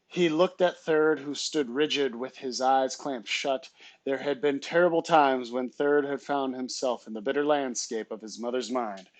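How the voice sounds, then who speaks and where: A man's voice: mid-range voice, no echo. Man reading a children's novel aloud, dry sound. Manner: expressive, dramatic storytelling.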